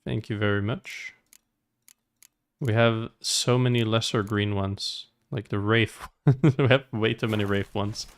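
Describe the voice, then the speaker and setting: A man's voice: fairly low pitch, steady tone, a little slow. A man doing casual, upbeat gameplay commentary as a streamer, thinking aloud as he goes. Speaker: game streamer. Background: faint game music.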